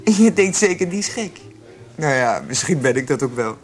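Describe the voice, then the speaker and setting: An actress playing a blunt, cynical young bar prostitute in a radio play. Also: female voice, low-pitched, very animated, normal speed, soft background music. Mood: teasing. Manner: teasing, blunt.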